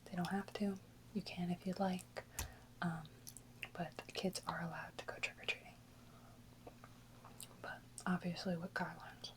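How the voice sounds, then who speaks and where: A woman whispering softly and unhurriedly in a casual ASMR chat, close to the microphone. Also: on the low side, flat delivery, a little slow, long pauses, poor audio. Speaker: ASMR video host.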